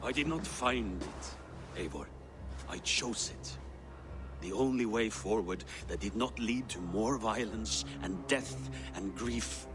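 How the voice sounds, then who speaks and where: An actor playing an old, deposed Norse king, a grieving and dignified father who speaks gravely and earnestly in a dramatic video game. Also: on the low side, animated, takes it slowly, background noise. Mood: sorrowful, solemn. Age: older. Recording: music behind the voice.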